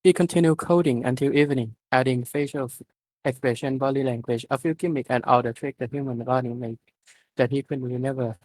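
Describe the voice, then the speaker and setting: A man's voice: medium pitch, fairly even intonation, brisk, darkish tone, no echo, rough recording. Man reading a story aloud, very dry sound. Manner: informal, stumbling over words. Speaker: reader of fan fiction at an online readalong. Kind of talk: live amateur story reading.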